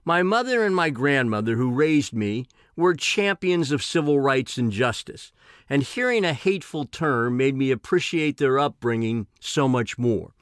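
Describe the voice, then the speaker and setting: A man's voice: mid-range voice, animated, moderate pace, smooth, dry recording, clean studio sound. A man reading his own personal essay in an earnest, emphatic way.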